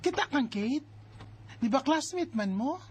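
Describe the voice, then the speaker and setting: A woman acting a bossy, concerned married elder sister in a Bisaya radio drama, with an expressive, nagging and exasperated delivery. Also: sits high, measured pace. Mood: searching, worried. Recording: music bed under the voice. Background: background music.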